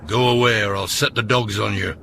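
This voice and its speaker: A man playing a gruff, impatient caretaker in a radio drama, curt and dismissive. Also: lowish voice, fairly even intonation, fairly quick, noisy background. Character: gruff, impatient male caretaker guarding the hospital gate.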